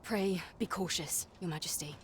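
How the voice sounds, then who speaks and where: A woman's voice: fairly low pitch, normal speed, a little hiss or hum, lightly acted. A woman voicing a dutiful, guarded female guard in a fantasy game, speaking formally in clipped archaic phrases. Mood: cautioning, respectful. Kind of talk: scripted fantasy game dialogue.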